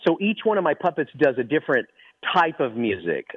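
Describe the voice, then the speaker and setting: A middle-aged man with a naturally high speaking voice, a ventriloquist telling stories with eager restarts in a phone interview. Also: sing-song, husky, no room sound. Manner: eager, quick storytelling with many restarts. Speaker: ventriloquist guest. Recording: tinny phone line, dry.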